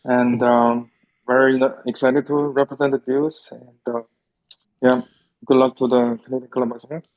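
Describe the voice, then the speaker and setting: A man's voice: mid-range voice, level tone, moderate pace, darkish tone. A nervous man from New Jersey introducing himself as a tournament player. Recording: dull lo-fi mic.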